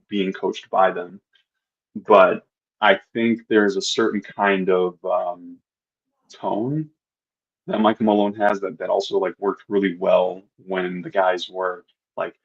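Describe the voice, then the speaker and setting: A man's voice: deep voice, husky, muffled recording. A man co-hosting a podcast, thinking aloud with hesitant restarts. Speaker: podcast co-host. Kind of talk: casual sports chat.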